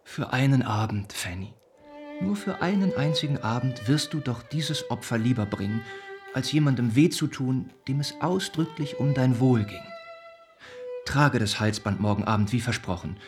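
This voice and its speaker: An actor playing a kind, earnest young gentleman in a radio play, speaking gently and formally. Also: mid-range voice, animated, moderate pace, lightly acted. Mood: gently persuading. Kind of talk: German radio play acting. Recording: studio radio play with music underneath.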